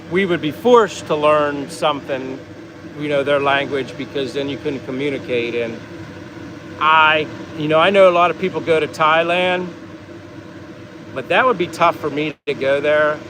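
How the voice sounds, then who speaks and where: A man's voice: fairly high pitch, background noise. An American man hosting a casual vlog, talking in a rambling, easygoing way as he reads out viewer comments. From United States. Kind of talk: casual livestream chat.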